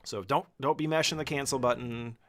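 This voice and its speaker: Man, medium pitch, casual tutorial voice-over, conversational, explanatory, informal gamer commentary. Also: fairly quick, a bit bright. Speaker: speedrunner giving a tutorial.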